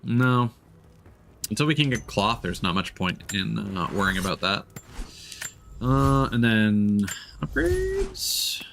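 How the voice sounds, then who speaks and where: A man with a fairly low voice commentates casually on a game he is streaming. He thinks out loud as he goes, with faint noise and game ambience behind him. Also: wildly swooping pitch, takes it slowly, creaky, long pauses. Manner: thinking aloud, musing. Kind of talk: casual live gameplay commentary.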